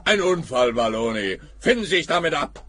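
An actor in a radio play playing a smug, dismissive police officer with an officious, condescending manner and a husky voice. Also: male voice, a little high, big pitch swings, moderate pace, a bit bright, exaggerated voice. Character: smug, dismissive male police officer, happy with easy answers.

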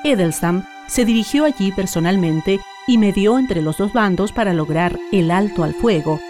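A woman's voice: mid-range voice, animated, moderate pace. A woman reading scripted documentary narration as a radio narrator. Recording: music bed under the narration.

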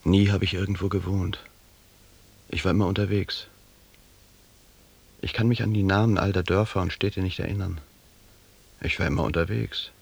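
Gravelly, proud wandering captain. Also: male voice, low voice, steady tone, normal speed, long pauses, a little mellow, exaggerated voice. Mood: brooding, reminiscent. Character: proud wandering African company captain, rootless and hardened. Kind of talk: 1980s German radio drama acting.

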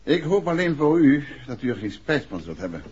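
Husky-voiced, composed gentleman detective. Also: fairly high pitch, sing-song, brisk, full character voice. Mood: firm, dignified. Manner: polite, assured, businesslike. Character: composed, principled male writer and amateur detective. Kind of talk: 1960s Dutch radio play acting. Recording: dull, muffled old radio recording.

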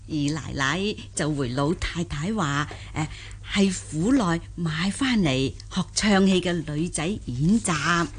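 A woman's voice: lowish voice, breathy edge, exaggerated voice. A woman playing a humble, curious old country granny in a Cantonese audio drama, hesitant and full of simple wonder.

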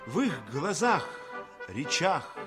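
Мужчина торжественно и размеренно читает литературный текст как рассказчик радиоспектакля, с декламационной подачей. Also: male voice, on the high side, very animated. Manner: measured, declamatory. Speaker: narrator. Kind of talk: solemn literary radio narration. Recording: orchestral brass music behind.

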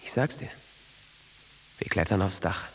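Ein Schauspieler in einem Hörspiel spielt einen verträumten jungen, traumatisierten Soldaten, der in kurzen, schlichten Sätzen erzählt. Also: male voice, low-pitched, unhurried, slight background noise. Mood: dreamy, intimate. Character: dreamy young traumatized soldier who believes he can fly. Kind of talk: naturalistic German radio drama acting.

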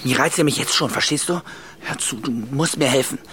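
An actor playing a jittery, earnest married man in a naturalistic radio drama, speaking in a hushed, conspiratorial manner. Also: medium pitch, a little fast, background noise, close to an everyday voice. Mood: urgent, scheming.

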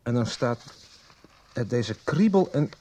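An actor in a radio soap playing a chatty, conspiratorial library worker with a husky voice and halting, repetitive delivery. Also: male voice, mid-range voice, lively intonation, dark tone, slight background noise. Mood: puzzled, explaining. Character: chatty, conspiratorial male library worker poring over records. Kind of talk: 1990s radio soap acting.

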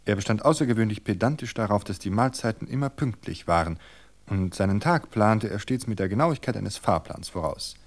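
Male narrator, lowish voice, calm, measured, scripted book reading.

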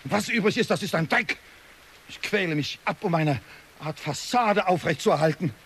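A middle-aged father in a radio drama, bitter and quick to anger. His scolding, brooding delivery swings widely in pitch, over faint background noise. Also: expressive, fairly bright tone. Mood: furious, despairing. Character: agitated, bitter father of a grown son, easily enraged. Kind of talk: German radio drama acting.

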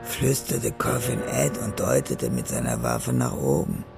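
A man's voice: extremely low pitch, very animated, unhurried, gravelly, over music, full character voice. A man narrating a scripted crime story in a radio drama.

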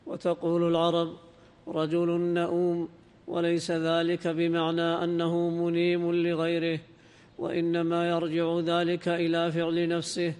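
Male student reader, higher-pitched and very monotone, smooth, formally reciting classical text in long reverb. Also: unhurried. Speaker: student reader in a religious lesson. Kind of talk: reading classical text aloud, semi-chanted.

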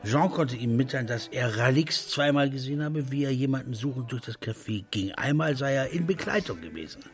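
A man's voice: medium pitch, lively intonation, a little fast, natural voice. A man giving scripted narration of a literary story in a radio play. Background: music.

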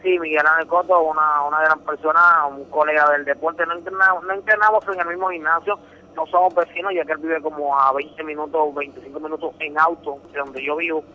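Earnest Puerto Rican man, bright voice. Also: fairly high pitch, steady tone, brisk, poor audio. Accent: Puerto Rican Spanish. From Puerto Rico. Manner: earnest, repeats words, emphatic retelling. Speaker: interviewed boxing champion. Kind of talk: phone interview on radio.

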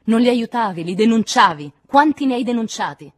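A fierce young woman acted by a female audiobook reader bent on vengeance. She is loud and harsh, pitched up and husky, pressing every demand violently. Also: animated, fairly quick. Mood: menacing, furious. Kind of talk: dramatic audiobook character acting.

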